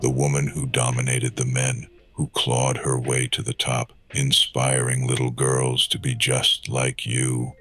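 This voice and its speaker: An extremely low, flat-toned battered old-school wrestler out for answers. He is terse and menacing in hardboiled noir radio style, with faint music underneath. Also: unhurried, hardly any pauses, dry recording, full character voice. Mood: accusing, contemptuous. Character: grim, battered ex-wrestler turned noir avenger. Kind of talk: hardboiled noir audio drama acting.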